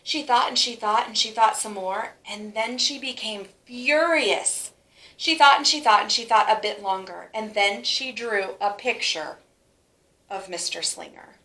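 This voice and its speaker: A woman reads a children's storybook aloud with animated delivery. Her voice is bright and forward, at a medium pitch, and she leans on key words. The room adds a clear echo. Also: unhurried. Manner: animated, stretches words for emphasis. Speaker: storybook reader. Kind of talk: expressive children's read-aloud. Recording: roomy, echoing sound.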